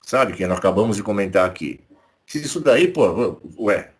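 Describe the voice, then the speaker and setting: A man's voice: lowish voice, animated, normal speed, breathy edge. A man with a Brazilian Portuguese accent giving casual political commentary as co-host, indignant and sarcastic, swearing freely.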